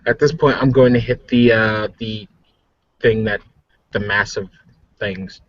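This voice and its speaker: A man's voice: fairly low pitch, steady tone, measured pace, no room sound. A man playing a tabletop game, chatting casually and jokingly. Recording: muffled lo-fi voice recording.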